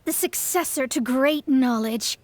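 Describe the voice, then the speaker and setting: An actress playing a proud, theatrical young astrologer in a video game, with a formal, declamatory manner. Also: female voice, high voice, measured pace, slight background noise. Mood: proud, grand. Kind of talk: video game character acting. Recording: music behind the voice.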